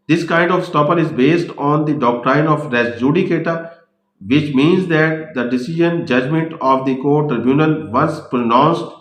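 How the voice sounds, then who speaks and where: Echoey, slow-paced Pakistani male lecturer. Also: mid-range voice. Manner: didactic, repeats points in rephrased form. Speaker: law lecturer. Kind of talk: explanatory lecture, reading English points then explaining in Urdu. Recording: echoey room sound.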